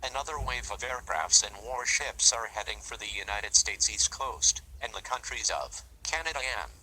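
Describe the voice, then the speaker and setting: An urgent male news anchor , acted by an amateur man, delivers a breaking bulletin in a very sharp, forceful voice. His delivery halts with startled asides, over faint background noise. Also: medium pitch, animated, normal speed, rough recording. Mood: urgent, alarmed.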